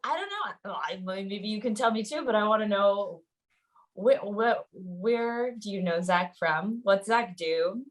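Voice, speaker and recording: A woman co-hosting a podcast in casual chat, excitable and informal. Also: mid-range voice, a little slow. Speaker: podcast co-host.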